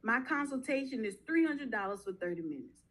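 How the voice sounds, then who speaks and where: Punchy woman coach pitching her program. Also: expressive, fairly quick, fairly bright tone. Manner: direct, punchy, says "y'all". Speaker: guest speaker, mobile home investing coach. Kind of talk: casual sales pitch.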